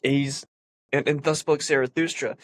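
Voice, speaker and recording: A man's voice: medium pitch, flat delivery, normal speed, fairly bright tone, dry recording, studio quality. A man with a congested, sniffly voice, reading a philosophy text aloud and explaining it in an earnest way with emphatic stresses. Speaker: philosophy commentator.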